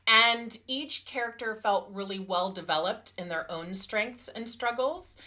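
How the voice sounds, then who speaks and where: A woman's voice: medium pitch, unhurried, bright, forceful tone. A woman co-hosting a casual book review podcast, talking informally and with emphasis. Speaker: podcast co-host reviewing romance novels.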